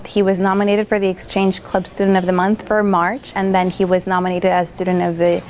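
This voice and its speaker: A woman who coordinates a school program, explaining it in an interview in a measured way with fillers. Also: fairly low pitch, little pitch movement, a little mellow, slight background noise.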